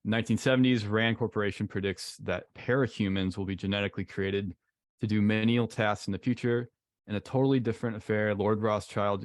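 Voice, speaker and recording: Deep-voiced relaxed man. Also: no echo. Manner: relaxed, halting, says "like" and "basically" a lot. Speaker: podcast co-host. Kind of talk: casual webinar talk and slide reading.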